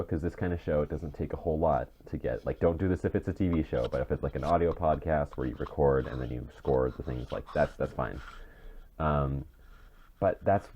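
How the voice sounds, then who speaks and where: A man's voice: a bass voice, mellow. A man co-hosting a podcast in casual chat, rambling and often rephrasing himself as he goes. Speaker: podcast co-host and audio drama creator. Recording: dull, muffled mic with clear noise.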